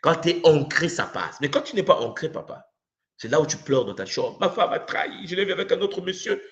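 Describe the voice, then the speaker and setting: A middle-aged man from Congo giving a spiritual teaching, swinging between loud emphatic bursts and low murmurs. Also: very animated, a little fast.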